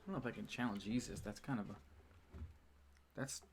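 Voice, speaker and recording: Casual male streamer, relaxed and jokey, talking to chat. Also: lowish voice, fairly quick, a little hiss or hum. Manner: laid-back, joking, talks to chat. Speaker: livestream host.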